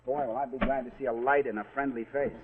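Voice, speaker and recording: An actor playing a nervous young husband who rambles and explains to reassure, in 1940s television suspense style. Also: male voice, medium pitch, steady tone, fast, natural voice. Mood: reassuring. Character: nervous, reasoning young husband sheltering from storm. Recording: tinny 1940s television soundtrack with loud music.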